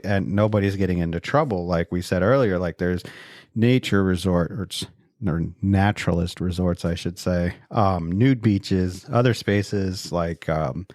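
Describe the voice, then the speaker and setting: Very deep-voiced frank male host. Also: darkish tone, dry recording, studio quality. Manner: frank, loose and rambling. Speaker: podcast host. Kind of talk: casual chat.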